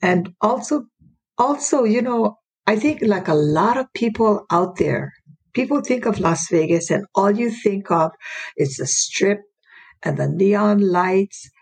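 Woman, sixties or older, from Hawaii, creaky, husky, mid pitch, unscripted storytelling interview guest. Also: expressive, a little slow. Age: 60s or older. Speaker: interview guest, retired educator.

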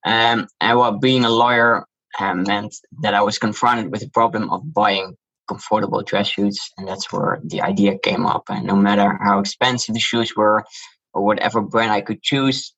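Man, Dutch accent, husky tone, lowish voice, measured explaining, dull lo-fi recording. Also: steady tone, fairly bright tone. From Netherlands. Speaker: shoe brand founder, guest. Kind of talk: interview answers.

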